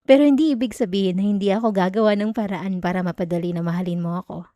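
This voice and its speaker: A woman acting out an obsessive, possessive stalker in an ASMR roleplay, coaxing and menacingly sweet, with halting stammers and giggly veiled threats. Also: mid-range voice, warm tone, no room sound, clean studio sound, lightly acted. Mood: sweetly menacing.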